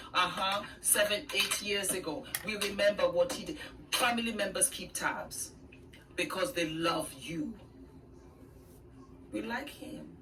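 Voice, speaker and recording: A woman speaks earnestly about relationships, sometimes dropping to a whisper, in a lowish voice. She sounds far from the mic, played back in the background with clear noise around her. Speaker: relationship advice YouTuber. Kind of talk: relationship advice monologue.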